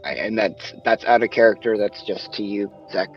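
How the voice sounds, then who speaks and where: A man plays his roleplay character in a low, hesitant voice and leans on frequent fillers. The sound is dull and lo-fi, with faint music behind it. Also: normal speed. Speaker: roleplay player.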